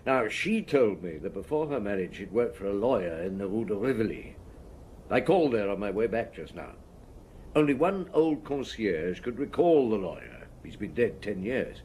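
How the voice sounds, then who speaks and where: An actor playing a shrewd, unhurried chief inspector in an audio drama, measured, probing and dryly courteous. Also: male voice, lowish voice, big pitch swings, a little mellow, slight background noise. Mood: thoughtful.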